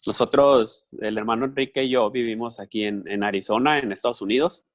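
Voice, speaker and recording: A man's voice: mid-range voice, a little fast. A man working as a live interpreter for a conference speaker, talking conversationally with repeated words and restarts.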